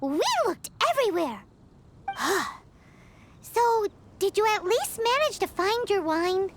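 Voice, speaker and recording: Tiny fairy girl, very high squeaky voice, bouncy pitch, slow drawn-out lines, game music underneath. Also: very animated, noisy background, exaggerated voice. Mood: exasperated. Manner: refers to herself by name, squeaky and pushy. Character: tiny floating female fairy companion, chatty and nosy. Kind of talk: video game voice acting. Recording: game score under dialogue.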